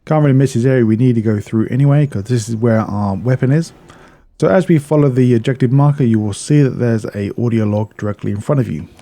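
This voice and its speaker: Mellow-voiced man guiding a game walkthrough. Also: medium pitch, quick pace. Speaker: video game guide narrator. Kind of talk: walkthrough commentary, casual and instructional.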